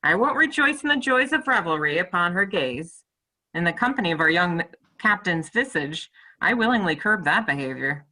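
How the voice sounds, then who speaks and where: A woman's voice: on the low side, animated, normal speed, sharp tone, poor audio. A woman playing a loyal, upright medieval French soldier in a play reading, with emphatic, archaic formal diction. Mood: solemn agreement. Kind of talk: stage play table read.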